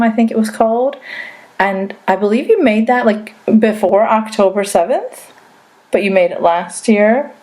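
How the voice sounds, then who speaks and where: A woman's voice: mid-range voice, vocal fry, darkish tone. A woman who grew up in Saudi Arabia, hosting a podcast interview in a chatty, casual way full of "like" fillers. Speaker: podcast host.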